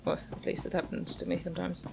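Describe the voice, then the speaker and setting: A woman's voice: fairly low pitch, monotone, fast talker, creaky, noisy background. A woman giving casual gameplay commentary as a video host, musing aloud in an offhand way. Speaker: gaming video host, player. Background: loud game music.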